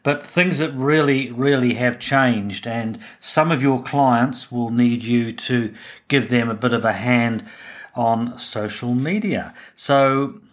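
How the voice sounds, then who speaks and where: A middle-aged man with a New Zealand accent hosts a business podcast. His voice sits at an ordinary, medium pitch, and he speaks as a presenter to listeners. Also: takes it slowly. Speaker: podcast host. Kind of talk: scripted intro and informal interviewing.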